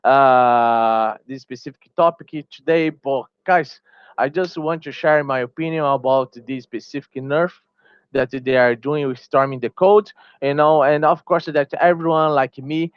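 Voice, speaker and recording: A man's voice: mid-range voice, clear, resonant tone, dry recording, dull audio. A man whose first language is Portuguese, a small gaming YouTuber giving a heated opinion vlog, repeating himself and restarting phrases, sounding tired.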